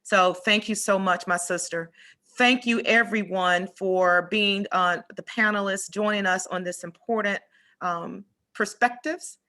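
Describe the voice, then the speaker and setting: A woman's voice: medium pitch, fairly even intonation, a little slow, sharp tone. A woman moderating a forum, graciously thanking the speakers and handing over to the next speaker. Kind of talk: closing remarks.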